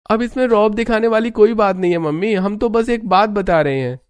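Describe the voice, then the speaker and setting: A man's voice: high-pitched, fairly quick, clear, resonant tone, natural voice. An actor playing a defiant young adult son who is tired of his family's rules, in a cartoon family drama. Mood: insistent. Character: grown son, fed up and defiant. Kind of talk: cartoon voice acting.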